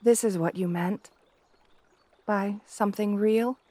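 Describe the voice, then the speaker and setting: Woman acting a shy, slow-spoken gentle princess. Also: mid-range voice, steady tone, dry recording. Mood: tender, hopeful. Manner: hesitant, stammering, deferential. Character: shy, gentle, devoted young princess bride. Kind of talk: wholesome romantic ASMR audio roleplay.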